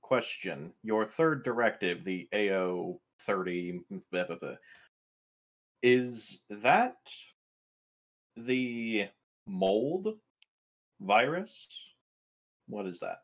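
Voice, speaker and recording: Deep voiced guy at a tabletop game, casual questions, slow delivery. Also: lively intonation, fairly bright tone. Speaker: tabletop roleplayer.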